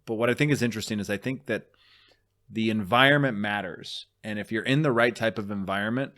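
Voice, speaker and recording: A man with a lowish voice co-hosts a podcast in loose, casual chat. He swings between boastful jokes and earnest, reflective musing. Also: brisk, a bit bright. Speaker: podcast co-host.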